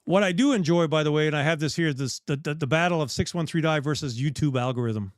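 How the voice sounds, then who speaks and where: A man's voice: on the high side, fast talker, no echo. Chatty man, dry close sound. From Bahamas. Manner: rambling, candid, with restarts. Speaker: dive shop host. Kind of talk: casual live chat.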